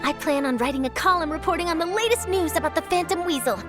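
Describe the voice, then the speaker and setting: A bubbly scoop-hungry young reporter. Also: female voice, extremely high pitch, quick pace, loud music behind the voice. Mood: eager. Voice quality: bright and bubbly, dramatic. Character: eager young woman journalist chasing scoops.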